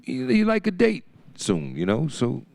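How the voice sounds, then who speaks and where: Laid-back slangy man with a lively voice. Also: mid-range voice, measured pace, gravelly, no echo, studio quality.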